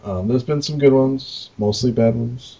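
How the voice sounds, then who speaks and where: A man co-hosting a podcast in casual chat, informal and halting, often trailing off. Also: medium pitch, creaky, dark tone, muffled recording. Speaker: podcast co-host, arcade operator.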